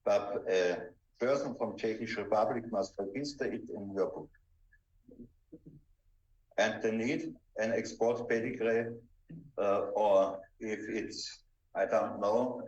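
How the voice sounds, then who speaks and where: A man with an Austrian accent, a native German speaker, presenting a seminar in halting, simple English with deliberate emphasis. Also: on the low side, a little mellow. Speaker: presenter instructing dog-trial judges. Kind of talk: seminar lecture. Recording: dull, echoey room, distant microphone.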